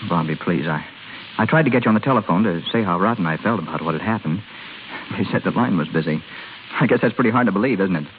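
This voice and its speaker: A man's voice: low voice, animated, fast talker, a little hiss or hum. A man playing a proud, frustrated young husband with blustery, clipped 1930s radio drama acting, on a tinny old recording. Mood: weary, apologetic.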